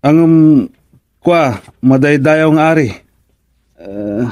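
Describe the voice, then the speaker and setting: A man's voice: on the high side, lively intonation, slow pace, darkish tone. A man playing a timid, deferential soldier in a radio comedy drama, hesitant and respectful in his speech.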